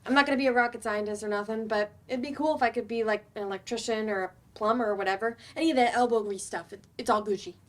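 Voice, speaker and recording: A woman's voice: a little high, fairly even intonation, fast talker, few pauses, lightly acted. A woman playing a blunt, reluctant adult student, slangy and informal, at a staged play reading. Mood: frank, reluctant.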